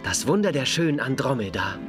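Anime teen heartthrob played by a man, mid-pitched, solemn, flowery and theatrical, music underneath. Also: big pitch swings, fairly quick, close to an everyday voice. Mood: romantic. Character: suave, romantic, flowery teenage boy. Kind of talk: anime dub acting.

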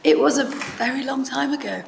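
A higher, gravelly female voice from a woman from Wales who grew up speaking Welsh. She talks frankly and bluntly, with laughing asides. Her voice rings with hall echo over a clear hiss. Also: fairly quick. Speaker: panelist, barrister. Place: large formal hall.

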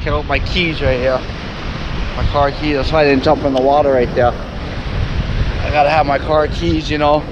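Muffled young man vlogging over loud wind. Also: on the high side, moderate pace, pauses often. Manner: excitable surfer-like slang, addressing viewers. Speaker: fishing vlogger. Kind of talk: casual outdoor vlog commentary. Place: outdoors on rocky shore and in the surf. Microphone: action camera mic. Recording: muffled action camera, loud wind and water noise.

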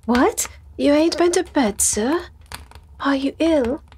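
A loud working-class landlady of a storybook, acted by a woman narrator. She is voluble and fussy, pitched high, with faint noise behind her. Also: animated, slow. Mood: alarmed, fussing.

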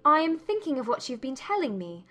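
A woman voicing a spirited, proud young gentlewoman, formal and pointed, in a dramatized audiobook. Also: high voice, big pitch swings, fairly quick, faint music behind, pitched above the performer's own voice, full character voice. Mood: questioning, indignant. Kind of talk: dramatized audiobook character dialogue.

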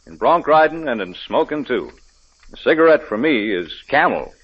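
A man's voice: medium pitch, very animated, moderate pace, breathy edge. A man voicing a plainspoken rodeo champion giving a testimonial in a 1940s radio ad. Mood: sincere selling. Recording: dull vintage radio broadcast.

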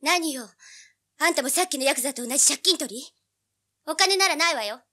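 A woman's voice: high voice, expressive, brisk, sharp tone. An actress playing a brash, money-minded teenage girl in an audio drama, with a blunt, snappy manner. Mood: annoyed, defiant.

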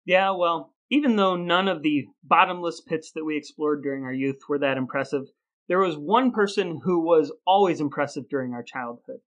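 A man's voice: on the high side, moderate pace, rough recording. A man co-hosting a podcast, moving between banter and an upbeat scripted delivery. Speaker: podcast co-host.

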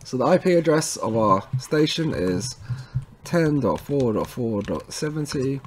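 Guy doing a screen tutorial, mid-pitched voice, chatty and informal, walks you thru it step by step. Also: very animated, a little slow, slight background noise. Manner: informal, addresses viewers as guys, frequent tag okay. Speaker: tutorial presenter, installer. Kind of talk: casual screen-recorded how-to walkthrough.

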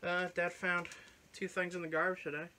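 Young man with a bright, sharp voice. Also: high-pitched, level tone, moderate pace, a little hiss or hum. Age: young adult. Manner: offhand, swears casually. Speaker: channel host showing his finds. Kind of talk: casual chat. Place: living room with record shelves and couch. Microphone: fixed camera at couch distance.

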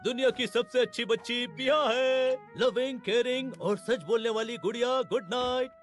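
A man playing an excitable, soft-hearted cartoon cat with a theatrical delivery. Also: very high voice, normal speed, soft background music. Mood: affectionate. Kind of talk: Hindi cartoon dubbing.

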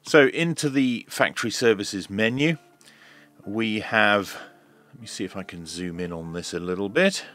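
A man giving a hands-on tech demonstration in a measured, hesitant way, as if thinking aloud. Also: lowish voice, fairly bright tone. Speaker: host of a radio repair video.

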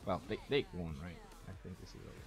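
A man's voice: low-pitched, fast talker. Man streaming a game. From Brazil. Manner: chatty, thanks viewers, thinks aloud while playing. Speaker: streamer and caster. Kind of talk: casual game streaming chat. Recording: faint music behind, weak mic.

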